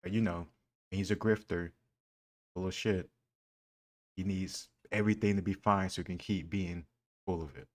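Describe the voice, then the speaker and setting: A man's voice: low voice, steady tone, normal speed, pauses often. A man hosting a reaction channel, talking back bluntly and profanely at a clip. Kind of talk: casual reaction commentary.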